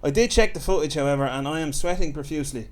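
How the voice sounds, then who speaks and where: A man's voice: on the high side, quick pace. A man hosting a podcast, chatting casually and making self-deprecating jokes. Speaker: podcast host. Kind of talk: casual chat.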